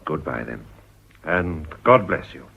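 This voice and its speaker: An actor with an English accent playing an earnest, ambitious Edwardian politician in a period radio drama. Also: male voice, very deep voice, expressive, takes it slowly, gravelly, a bit bright, husky, exaggerated voice. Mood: tender. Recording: dull, slightly muffled old radio recording.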